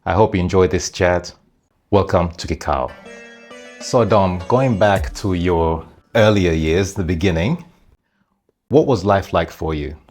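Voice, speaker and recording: Deep-voiced man hosting a podcast. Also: unhurried, soft background music. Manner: calm, short backchannel responses. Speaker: podcast host. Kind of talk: scripted podcast intro and interviewing.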